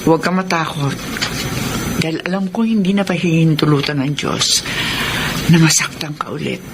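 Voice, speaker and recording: A woman's voice: sits low, normal speed. Devout Filipino mother, very noisy recording. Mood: comforting, reassuring. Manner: soothing, advising delivery. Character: comforting, devout mother of a grown daughter. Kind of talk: Filipino radio drama acting. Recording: very noisy radio drama recording.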